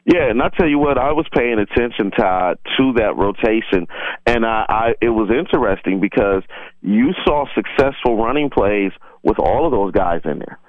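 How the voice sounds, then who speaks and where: A man's voice: lowish voice, normal speed, dry recording. A man giving casual, rambling football analysis on a sports show, often rephrasing himself as he goes. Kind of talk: casual conversational sports commentary. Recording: dry, band-limited phone-like line.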